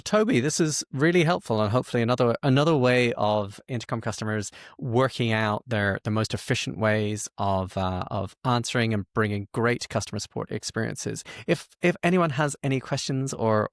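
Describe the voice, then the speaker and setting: A man's voice: medium pitch, vocal fry, dry recording, clean studio sound. A man hosting an interview podcast, conversationally summing up what his guest said and asking questions. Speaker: podcast host.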